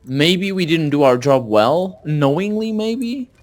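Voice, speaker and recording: A man's voice: fairly high pitch, expressive, slow. A man chatting casually as a co-op gamer, sometimes reading game text aloud. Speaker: gamer, co-op let's play commentator.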